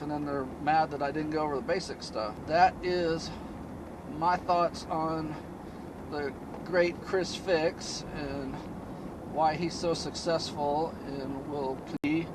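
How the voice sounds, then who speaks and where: Male DIY vlogger, slightly high-pitched, monotone and low-key; recorded in a moving car with clear road noise. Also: slow pace. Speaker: DIY car-repair channel host. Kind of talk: casual vlog talk while driving.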